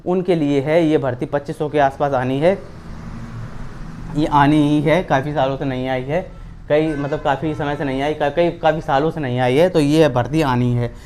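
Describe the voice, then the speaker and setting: A man's voice: fairly high pitch, steady tone, moderate pace, a little mellow, slight background noise. A man hosts an informal explainer on recruitment updates, repeating phrases for emphasis and often checking in with his listeners. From India. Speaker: host of an exam-news channel.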